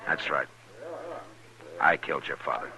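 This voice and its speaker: An American actor playing a stern, steady frontier lawman in a 1950s radio western, speaking tersely with measured authority. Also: a bass voice, creaky, crowd noise, heavily acted, low-quality recording. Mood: firm warning. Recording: old radio recording, faint noise.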